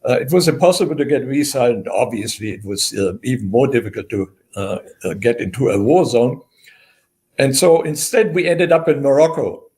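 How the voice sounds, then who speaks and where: Older man, German accent, academic guest, halting and measured delivery. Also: mid-range voice, a little mellow. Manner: measured, many "uh" fillers. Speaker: guest historian. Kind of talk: interview answers, lecturing.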